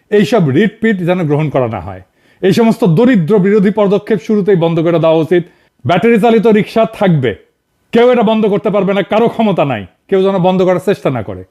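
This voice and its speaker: A man's voice: high voice, darkish tone. A man with a northern Bangladeshi accent giving informal political commentary, colloquial, sarcastic and emphatic, addressing people directly. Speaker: video commentator and host.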